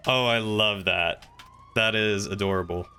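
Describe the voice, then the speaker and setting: A man voicing a composed, courteous crime boss in a game role-play, measured and understated with a wry edge. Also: on the low side, pushed and bright, clear, resonant tone, pitched below the performer's own voice.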